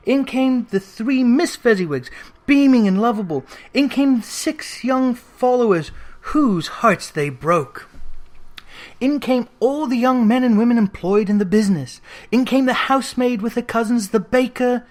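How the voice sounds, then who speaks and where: A reader giving an expressive, dramatic narration of a classic story, leaning into lists with emphasis. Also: mid-range voice, a little slow. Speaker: audiobook reader.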